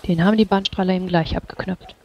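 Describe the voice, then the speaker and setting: Creaky, even-toned tabletop player, dull sound. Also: medium pitch, fast talker. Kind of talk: casual in-character chat.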